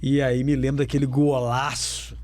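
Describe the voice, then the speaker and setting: A man's voice: medium pitch, little pitch movement, normal speed, darkish tone, no room sound. A man interviewing a young footballer, asking emphatic questions and repeating them for effect. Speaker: sports radio interviewer.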